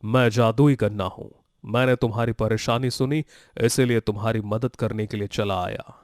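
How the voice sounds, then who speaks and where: A man's voice: lowish voice, steady tone, moderate pace, a little mellow, dry recording, clean studio sound, close to an everyday voice. A man voicing a magical talking sugarcane in a children's story, confident, boastful and reassuring. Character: magical talking sugarcane, helpful, boastful, kindly male creature. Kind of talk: dramatized Hindi moral story for children.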